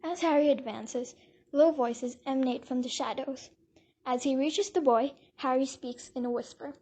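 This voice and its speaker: A woman's voice: sits high, normal speed, warm tone. A woman narrating an audio drama from a script in a dramatic storytelling style.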